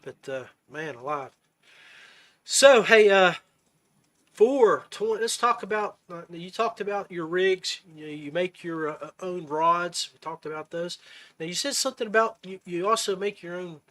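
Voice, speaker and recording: A man's voice: high voice, a little slow, a bit bright. A man hosting a podcast in a casual chat, with a folksy manner, often rephrasing his questions as he goes. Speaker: podcast host. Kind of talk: casual chat interview about fishing.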